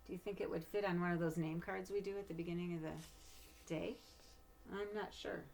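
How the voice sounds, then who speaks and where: A woman's voice: fairly low pitch, fairly even intonation, fairly quick, creaky, darkish tone, slight background noise. A woman reading a children's storybook aloud, with casual asides to the listeners. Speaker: storybook reader.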